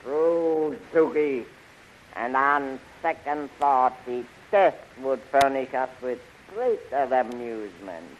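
An actor playing a cruel, commanding tyrant emperor in a 1930s radio serial, with pompous, formal delivery. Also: male voice, medium pitch, expressive, slow. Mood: sinister relish. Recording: muffled, hissy 1930s radio recording.

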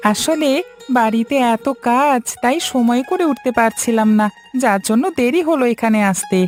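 Woman voicing earnest, high-voiced village lad. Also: normal speed, darkish tone, lightly acted. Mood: helpful. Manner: earnest, reassuring, polite. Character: helpful, dutiful young village handyman, earnest and polite. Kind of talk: cartoon story dialogue. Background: background music.

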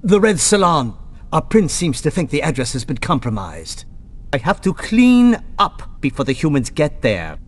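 A man's voice: fairly high pitch, big pitch swings, moderate pace. A man playing a curt, disdainful vampire courtier in a video game, condescending and mock-polite. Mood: curt, irritated.

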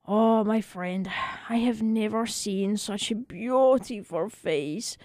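Woman as an excitable little French barber, dramatic. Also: mid-range voice, takes it slowly, no echo, studio quality, natural voice. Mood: sorrowful, admiring. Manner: dramatic, emphatic, exclamatory. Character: excitable, tender-hearted little French barber telling his tale.

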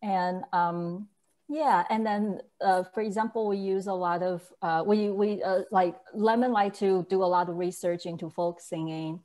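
Young woman from southeast China, mellow tone, hesitant with fillers, webcam audio, big loft room. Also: medium pitch, steady tone, moderate pace. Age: young adult. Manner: hesitant, many fillers and restarts. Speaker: choreographer guest. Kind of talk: casual conversational answers. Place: large empty loft room with brick walls, indoors. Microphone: webcam on a video call.

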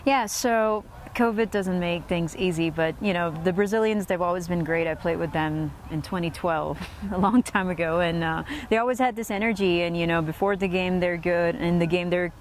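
A woman's voice: on the low side, moderate pace, hardly any pauses. A woman in her thirties, a player giving post-match interview answers outdoors into a lapel mic. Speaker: cricket player. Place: outdoor cricket field. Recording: faint outdoor ground noise.

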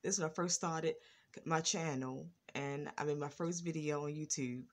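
A woman's voice: deep voice, normal speed. A woman vlogger talking casually to her phone camera, stuttering and restarting her words. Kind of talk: casual reaction vlog. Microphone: phone front camera.

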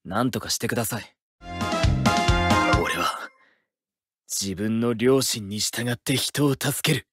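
A brooding, principled teenage boy sorcerer for an anime game. He declares things slowly and intensely, his pitch moving a lot. Loud music plays behind him. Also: expressive. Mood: cool, resolute. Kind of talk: Japanese anime game voice acting.

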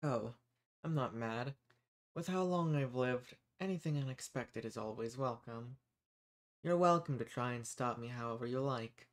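A man performs an ancient male archdemon in a dark roleplay audio. He is smug and dominant, speaking in a medium-pitched voice. His condescending, amused delivery lingers on the words he wants to stress. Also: natural voice. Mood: amused, menacing. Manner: condescending, amused, drawn-out emphasis. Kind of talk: scripted roleplay audio monologue.

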